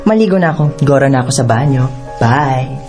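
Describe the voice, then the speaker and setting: A woman's voice: rumbling low, expressive, fairly quick, over music. An actress playing a spoiled, mocking young woman who bosses her housemaid cousin in sassy Taglish in a radio drama. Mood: haughty, threatening. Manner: sassy, slangy Taglish with haughty commands.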